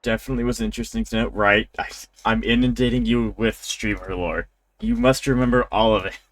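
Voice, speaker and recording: Guy streamer with a lowish voice, rambling casually over a game, kinda wry. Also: fairly even intonation, brisk. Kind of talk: casual livestream chat and game commentary.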